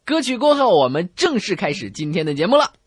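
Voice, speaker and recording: A woman's voice: mid-range voice, big pitch swings, quick pace, loud music behind the voice. A woman co-hosting a comedy radio show, telling jokes in playful, teasing banter.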